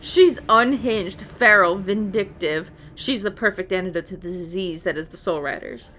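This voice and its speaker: Woman as imperious, sharp-voiced dark villain. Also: medium pitch, moderate pace, a little hiss or hum, lightly acted. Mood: scornful. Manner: imperious, theatrical. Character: commanding, scornful dark villain returned from banishment. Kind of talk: video game villain dialogue.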